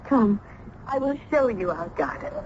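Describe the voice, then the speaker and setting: A woman's voice: medium pitch, animated, measured pace, darkish tone, muffled recording, close to an everyday voice. Casting: woman as innocent young maiden, earnest and formal, old-fashioned diction, on a noisy vintage radio play recording. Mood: gentle, welcoming. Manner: earnest, formal, archaic phrasing. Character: gentle, innocent young maiden, a doctor's sheltered daughter. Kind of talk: old-time radio drama acting.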